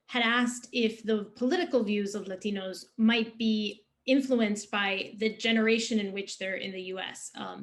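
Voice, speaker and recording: Young female moderator, clear and inquisitive, on a video call. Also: medium pitch. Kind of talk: moderating a panel.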